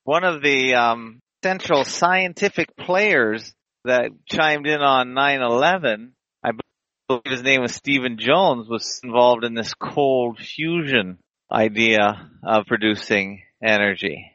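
Man, radio show host, casual talk, rambling, opinionated, bright forceful voice, dry slightly dull sound. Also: mid-range voice, slow, no echo. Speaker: podcast host. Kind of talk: casual online radio chat.

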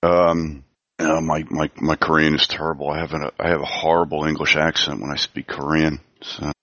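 A man's voice: very deep voice, little pitch movement, normal speed, fairly bright tone, no echo, dull audio. A man chatting casually as a guest, hesitant and full of fillers. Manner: hesitant, many fillers, friendly. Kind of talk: casual chat.

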